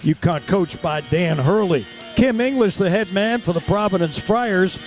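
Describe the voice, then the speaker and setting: High-pitched male basketball play-by-play announcer. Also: expressive, normal speed, faint music behind. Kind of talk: radio sports broadcast commentary.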